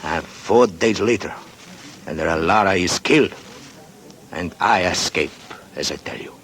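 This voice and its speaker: A man's voice: low voice, a little slow, fairly bright tone. An actor playing a secretive Mexican man who guards a buried gold secret, speaking broken English with a Mexican Spanish accent in a 1940s radio drama. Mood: earnest. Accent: Mexican Spanish (acted). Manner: broken English with Spanish words, wary and conspiratorial.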